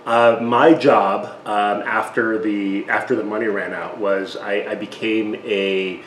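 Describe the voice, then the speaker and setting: A man's voice: on the low side, steady tone, a little slow, a bit bright, reverberant, slight background noise, low-quality recording. A man born in Taiwan, guest on a cooking interview show, talking in a chatty, self-deprecating way with frequent restarts. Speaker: guest, radio station president. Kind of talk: casual conversational interview. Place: home kitchen.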